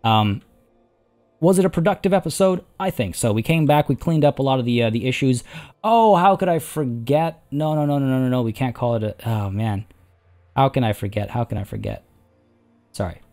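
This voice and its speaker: A man with a hoarse, tiring voice, chatting casually as he plays a zoo-building game and rambling through his thoughts. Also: mid-range voice, animated, normal speed, long pauses, a little mellow, soft background music. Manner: rambling, chatty, many restarts and fillers. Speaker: let's play host. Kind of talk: casual gameplay commentary.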